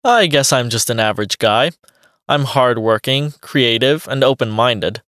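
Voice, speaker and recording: A man's voice: medium pitch, expressive, unhurried, a bit bright, no echo. A man voicing a friendly young male beatboxer answers a radio host's questions in a mid-range voice. His delivery is plain and evenly paced, like a scripted language-course dialogue. The sound is dry and close, with no room around it. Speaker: interviewee.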